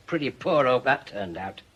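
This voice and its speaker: An actor playing a bitter, obsessive middle-aged retired policeman in a radio drama, forceful and sardonic. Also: medium pitch, animated, unhurried, slight background noise, poor audio. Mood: sardonic, resigned.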